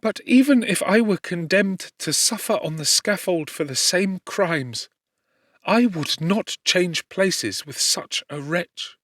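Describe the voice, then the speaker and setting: A male narrator voicing a gentle, grieving young woman in an audiobook, pleading and earnest. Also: high voice, animated, takes it slowly, no room sound, pitched above the performer's own voice, exaggerated voice. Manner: pleading, earnest, softly lifted voice. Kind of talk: scripted audiobook reading. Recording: dry close studio.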